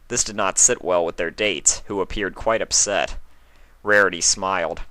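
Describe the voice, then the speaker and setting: A man's voice: low-pitched, fairly even intonation, normal speed, pushed and bright, dry recording. A man narrating a scripted story as a reader.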